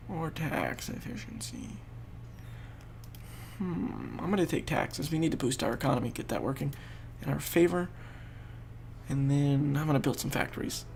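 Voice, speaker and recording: Congested, gravelly man narrating gameplay. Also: fairly high pitch, darkish tone, slight background noise. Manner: rambling, thinking aloud while playing. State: congested, losing his voice. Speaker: gaming video host. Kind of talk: casual gameplay commentary.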